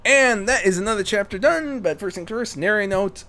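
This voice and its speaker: A man narrating as a fan fiction reader, with animated storytelling delivery. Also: high voice, fairly quick.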